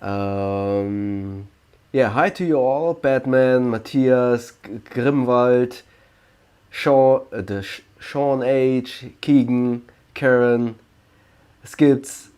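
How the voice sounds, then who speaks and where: A man in his late thirties from Germany with a German accent, hosting a casual question-and-answer livestream, pausing often with ums and restarting sentences. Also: medium pitch, darkish tone, clean tone. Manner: slow, hesitant, many ums and restarts. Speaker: livestream host.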